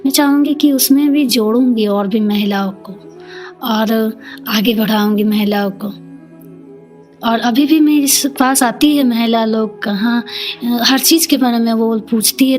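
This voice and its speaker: Middle aged woman from the east, kinda high voice, plain earnest interview answers, faint music behind. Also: darkish tone. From Jharkhand, India. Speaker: interview guest, community leader. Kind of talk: personal storytelling in an interview.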